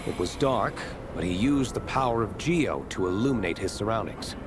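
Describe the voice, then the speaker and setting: A man's voice: on the low side, expressive, moderate pace, noisy background, lightly acted. A man playing a stern, reserved nobleman in a video game, testifying in a serious, curt manner. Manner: serious, matter-of-fact, curt.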